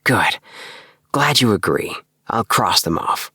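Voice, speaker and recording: A man voicing an excitable, earnest young dreamer in an audiobook, with an emphatic, self-satisfied manner. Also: lowish voice, slow, creaky, slightly rough, dry recording, clean studio sound, full character voice. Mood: earnest, enthusiastic. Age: young adult. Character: excitable young dreamer, eager, earnest, obsessed with house plans. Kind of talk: acted audiobook dialogue.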